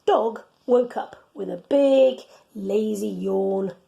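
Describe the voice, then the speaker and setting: A woman reading a children's storybook aloud in an expressive, teacherly way. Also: mid-range voice, slow, gravelly.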